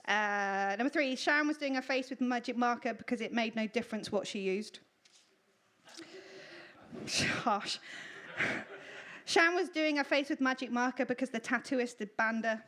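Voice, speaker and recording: High-pitched woman presenting informally. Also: moderate pace, pauses often, a bit bright. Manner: giggly, self-deprecating, jokey. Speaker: presenter announcing writing contest winners. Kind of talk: informal live announcing, reading out entries. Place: live storytelling event at a venue.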